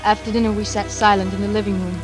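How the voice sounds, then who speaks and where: An actress playing an anxious, trapped daughter in a 1940s suspense radio drama, tense and dramatic, halting when flustered. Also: female voice, medium pitch, little pitch movement, close to an everyday voice. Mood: weary, haunted. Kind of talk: 1940s radio acting, first-person suspense narration. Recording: vintage radio drama with music behind.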